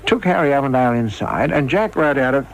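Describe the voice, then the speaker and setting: An older man with a husky, gravelly voice, telling anecdotes in an animated way. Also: mid-range voice, quick pace. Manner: animated storyteller, acts out dialogue. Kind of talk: reminiscing anecdotes with acted quotes.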